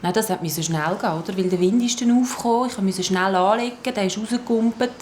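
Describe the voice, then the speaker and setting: A defensive boat woman played by an actress speaks quickly with a Swiss German accent. She is hesitant in this naturalistic radio drama, in an echoing room with some ambient noise. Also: mid-range voice, darkish tone, close to an everyday voice. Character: defensive boat woman being questioned about a missing boy. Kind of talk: naturalistic radio play dialogue.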